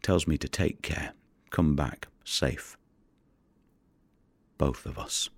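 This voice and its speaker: A man acting a weary, troubled fisherman in an audio drama monologue, gravelly and husky, brooding and halting, with blunt words. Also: a bass voice, no echo, exaggerated voice. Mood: haunted, sombre. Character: weary, troubled fisherman rescuing migrants at sea. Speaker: actor in an audio play.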